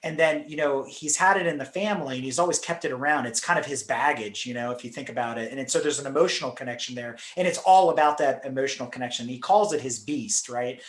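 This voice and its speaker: A man's voice: medium pitch, quick pace, hardly any pauses. Chatty man in a roomy echo. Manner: animated storyteller, laughs often. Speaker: podcast host. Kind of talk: casual chat.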